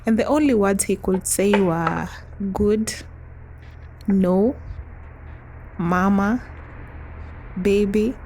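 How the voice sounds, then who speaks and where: A young woman from East Africa tells a personal story to her vlog audience in an unhurried, easygoing way. Her voice carries a gravelly edge, and soft laughs keep slipping between her sentences. A faint hum of noise sits under the recording. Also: medium pitch. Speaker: vlogger.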